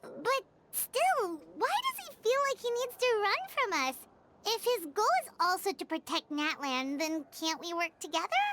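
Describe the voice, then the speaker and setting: A woman's voice: big pitch swings, normal speed, background noise. A woman voicing a small, childlike fairy companion in a video game, curious, cutesy and easily flustered. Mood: concerned. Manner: cutesy, squeaky, puzzled.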